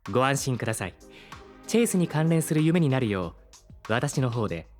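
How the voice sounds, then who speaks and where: A man playing a polite, caring artificial intelligence with formal, measured speech in a Japanese audio drama. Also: medium pitch, very animated, faint music behind, lightly acted. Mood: courteous, calm.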